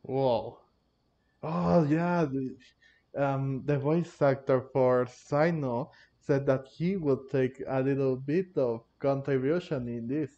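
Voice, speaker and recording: A man hosting a gaming video with casual commentary, halting as he searches for words. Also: medium pitch, dark tone. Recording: dull, lo-fi microphone sound. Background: game music.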